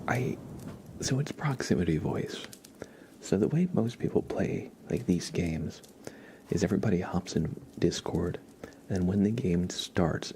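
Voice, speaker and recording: A man hosting an ASMR livestream, talking to viewers in a soft, hushed, gentle and halting way. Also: deep voice, vocal fry, slight background noise. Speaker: live streamer, ASMR host.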